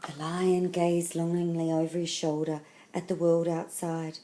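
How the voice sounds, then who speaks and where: Female storybook narrator, slightly low register, dramatic and deliberate phrasing for young listeners. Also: little pitch movement, warm tone, a little hiss or hum. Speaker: storybook reader. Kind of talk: expressive scripted children's story narration.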